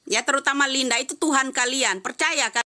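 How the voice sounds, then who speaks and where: A woman's voice: high-pitched, brisk, pushed and bright. A woman giving heated live-stream commentary, answering comments with insistent, repeated rhetorical questions.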